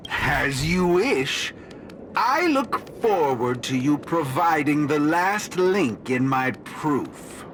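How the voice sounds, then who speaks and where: A man's voice: on the high side, very animated, background noise. A man voicing a smug, scholarly ape professor villain in a video game, lecturing in a pompous, theatrical way. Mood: smooth menace. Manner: pompous, lecturing, slow and deliberate. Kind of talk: dramatic video game voice acting. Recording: loud music underneath.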